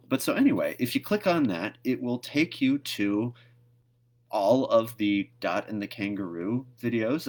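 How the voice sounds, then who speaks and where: A man in his thirties hosting a read-aloud, chatting casually and narrating a storybook. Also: lowish voice. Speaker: host of a read-aloud series.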